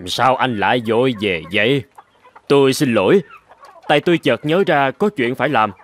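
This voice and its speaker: A man playing a courteous country gentleman in an animated fairy tale, speaking politely and formally with deliberate phrasing. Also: medium pitch, close to an everyday voice. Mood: apologetic. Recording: background music under the voice.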